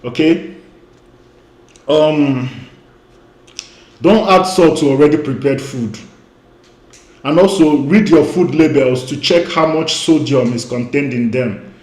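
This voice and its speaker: A man's voice: fairly high pitch, slow, pauses often, darkish tone, roomy sound, rough recording. A man who grew up speaking Igbo, giving an emphatic online lecture as a weight-loss coach. He repeats his key points and often says "you know".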